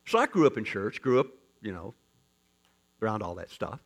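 A man pastor preaching a sermon as folksy, joking storytelling, with sudden loud rises in his delivery. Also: on the low side, wildly swooping pitch, moderate pace.

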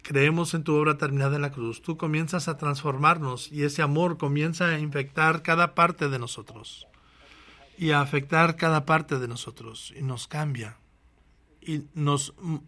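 An earnest pastor calls his congregation to action and then moves into prayer. His voice sits a little higher than most men's. He often breaks off and restarts his phrases. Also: fairly bright tone. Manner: earnest, exhorting, with frequent restarts. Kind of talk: sermon with closing prayer.

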